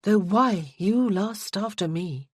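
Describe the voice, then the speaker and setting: An audiobook narrator voicing a proud, spirited young noblewoman who answers teasing with haughty retorts, raised and louder than the narration. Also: medium pitch, breathy edge, no echo, studio quality, pitched above the performer's own voice. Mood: laughing protest. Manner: haughty, quick to tease back.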